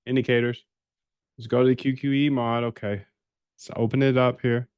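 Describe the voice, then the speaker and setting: A man's voice: medium pitch, flat delivery, normal speed, clean tone, no echo, lo-fi sound. A man hosting a live coding stream, chatting loosely with viewers, joking and swearing as he works. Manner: loose, profane, joking banter with chat. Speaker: livestream host coding a trading bot. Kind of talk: casual live-stream chat.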